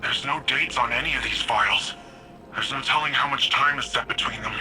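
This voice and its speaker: Sharp-voiced, earnest game hero. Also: male voice, medium pitch, a little fast, soft background music, rough recording. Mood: curious. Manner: casual, plain-spoken. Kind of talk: video game dialogue acting.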